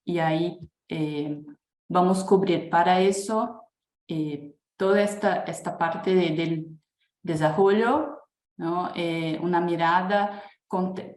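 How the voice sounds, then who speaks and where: A woman with a Brazilian Portuguese accent, a native Portuguese speaker, presents slowly and hesitantly, filling pauses with fillers and restarting her phrases. Her voice sits low and carries a roomy echo over a video call. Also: a little mellow. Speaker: guest speaker. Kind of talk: webinar presentation.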